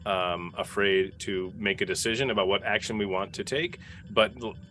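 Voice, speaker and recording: Bright, forceful low-voiced man. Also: steady tone, normal speed. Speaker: podcast cast member and role player. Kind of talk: casual panel chat. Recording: faint background noise.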